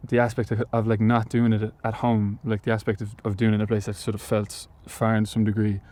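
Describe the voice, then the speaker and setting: A young Irish man in an interview, his voice on the low side. He answers haltingly, piling up fillers and false starts as he thinks aloud. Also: monotone, mellow. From Ireland, Galway. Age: young adult. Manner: hesitant, many fillers and restarts. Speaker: musician guest.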